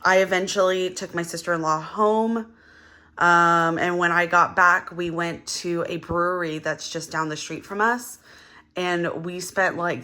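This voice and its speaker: A young woman chatting casually as she counts cash for her budget, her voice a little gravelly and hoarse from just waking up, rambling with lots of fillers. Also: on the low side, bright, forceful tone. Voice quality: gravelly early-morning voice. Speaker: budgeting vlogger.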